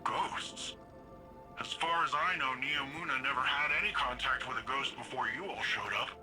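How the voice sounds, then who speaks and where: An actor playing a curious, wry, informal young male sidekick in a science fiction game, talking in a casual, halting, questioning way. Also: medium pitch, over music, lightly acted. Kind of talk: dramatic video game dialogue.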